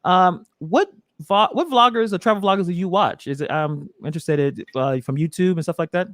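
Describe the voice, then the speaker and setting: A man's voice: high voice, brisk, fairly bright tone, no room sound, studio quality. A man hosting a casual livestream interview, chatty and reading viewer messages aloud. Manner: chatty, laughs, reads chat aloud. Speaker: host of a livestream.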